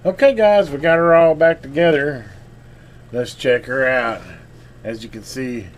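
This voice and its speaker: A man's voice: medium pitch, a little hiss or hum. An American man giving a casual, hands-on demonstration, talking informally and folksily, half to himself as he works. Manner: folksy, informal, muttering to himself while working. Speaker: repair vlogger.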